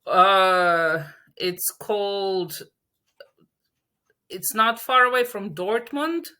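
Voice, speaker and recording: A woman's voice: mid-range voice, very slow, bright, forceful tone, clean tone. A woman from Latvia, a native Russian speaker, chatting as an interview guest in English, hesitant and laughing often. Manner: hesitant, many fillers and restarts, laughs often. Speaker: podcast guest. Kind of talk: casual interview chat.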